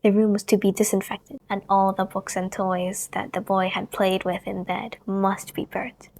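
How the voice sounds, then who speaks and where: A woman reading a children's storybook aloud in a gentle, expressive way that sometimes drops to a hush. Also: mid-range voice, moderate pace, vocal fry. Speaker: storybook reader.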